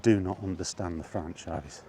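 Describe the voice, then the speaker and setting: A British man with a deep, dark-toned voice talks casually to his viewers as a film vlogger. He rambles bluntly, with exasperation and dry sarcasm. There is faint noise behind him. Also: moderate pace. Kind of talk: casual opinionated film chat, rambling monologue.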